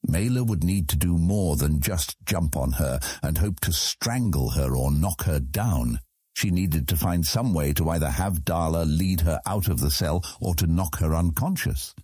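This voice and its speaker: A man with a husky voice reading scripted fantasy story narration as an audiobook narrator. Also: low-pitched, lively intonation, unhurried, mellow, no room sound, studio quality.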